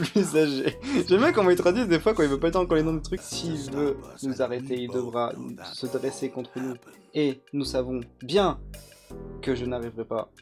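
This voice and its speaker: A man's voice: mid-range voice, normal speed. A man giving casual, joking and crude gameplay commentary, rambling and often laughing. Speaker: gaming YouTuber. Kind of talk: casual let's-play commentary. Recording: game music playing under the voice. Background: game music.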